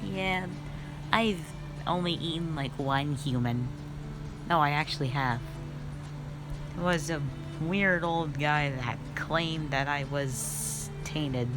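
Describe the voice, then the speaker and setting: A woman acts out a cute, sassy snake-tailed forest monster for a roleplay audio. She speaks in a slightly low, unhurried female voice, teasing bluntly and haltingly. Music plays clearly behind her. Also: poor audio. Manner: chatty, halting, blunt teasing with eager bursts. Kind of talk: roleplay audio addressed to the listener. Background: background music.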